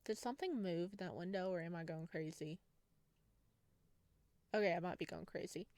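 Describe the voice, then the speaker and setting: A woman's voice: fairly low pitch, normal speed, a little mellow, no room sound. A woman reading a visual novel game aloud in a casual way, adding her own playful asides. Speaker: gaming video creator reading and playing a visual novel.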